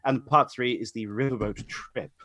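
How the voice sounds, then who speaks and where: A man's voice: medium pitch, normal speed, bright, forceful tone, dry recording. A man hosting a casual live stream as announcer, chatty and rambling as he introduces things. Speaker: host and announcer. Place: online group call.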